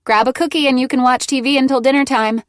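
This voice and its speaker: Woman reading as a scared teen girl, high shaky voice, pleads and snaps back, dry close sound. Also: animated, moderate pace, a bit bright, no echo. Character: distraught fifteen-year-old girl, defensive and pleading.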